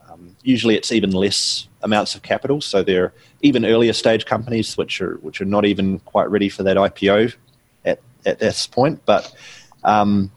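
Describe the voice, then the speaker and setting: A middle-aged man with a New Zealand accent, answering interview questions in a measured, explanatory way with frequent ums and restarts. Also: lowish voice, fairly even intonation, slightly rough, no room sound. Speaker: guest author.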